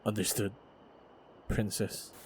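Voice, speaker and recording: A man playing a stoic, wry and protective monster hunter in a fantasy action audio roleplay, speaking in terse, measured, understated lines. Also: fairly low pitch, little pitch movement, a little mellow, a little hiss or hum. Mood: steady, resolute.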